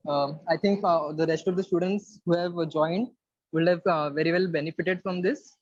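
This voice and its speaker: Higher-voiced young Indian man. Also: fairly even intonation, normal speed, no room sound. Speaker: student representative giving vote of thanks. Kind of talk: polite, semi-formal vote of thanks. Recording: dull, lo-fi online call.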